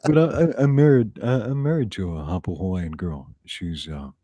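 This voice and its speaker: A man in his forties with a deep, husky voice, from the rural American South. He talks in a loose, rambling way and breaks into laughter often. The sound is dry and close, with no room around it. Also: big pitch swings, moderate pace, warm tone, no echo. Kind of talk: casual chat.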